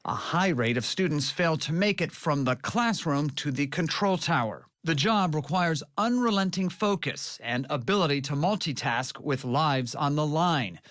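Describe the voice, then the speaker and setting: A man narrates a scripted news package. His voice sits slightly higher than usual for a man. The sound is dry and close, with no room on it. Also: expressive, normal speed, hardly any pauses, a bit bright, no echo. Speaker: news correspondent.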